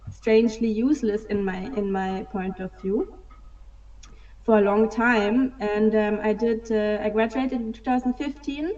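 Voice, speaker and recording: A woman in her thirties tells her story slowly, pausing on lots of "um"s. Her voice is mellow and a little dark. It sounds distant and dull, as if she is far from the mic on a call. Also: medium pitch. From Germany. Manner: hesitant, many "um" fillers, soft laughs. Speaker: guest, digital fashion artist. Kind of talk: casual interview answers.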